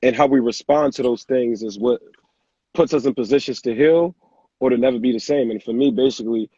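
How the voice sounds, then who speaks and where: A young man from Senegal, a guest entrepreneur, talking in a relaxed, plain-spoken way on a live phone video stream. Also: medium pitch, fairly quick, slightly rough, no echo. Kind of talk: casual live-stream interview. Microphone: phone camera on a live video stream. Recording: dull lo-fi phone audio.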